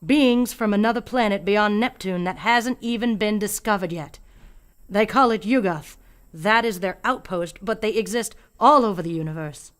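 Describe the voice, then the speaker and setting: An actress as an older country woman in a radio play, with a mid-pitched voice. She is fearful yet stubborn, telling what she knows in a grave, deliberate way. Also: clear, resonant tone, close to an everyday voice. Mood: grave, haunted. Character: fearful, stubborn old rural woman guarding alien secrets.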